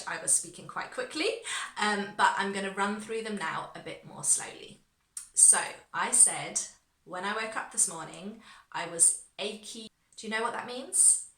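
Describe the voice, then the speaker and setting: A woman teaching an online English lesson, encouraging and clear, saying phrases slowly for learners to repeat. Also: medium pitch, pushed and bright, echoey room.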